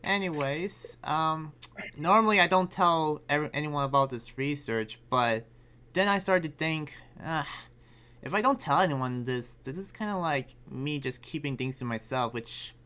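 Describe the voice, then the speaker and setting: A man's voice: on the high side, unhurried, sharp tone, clear, resonant tone. A man playing an earnest, troubled demon slayer in an improvised game roleplay, explaining himself in a hesitant, rambling way. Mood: hesitant, confiding.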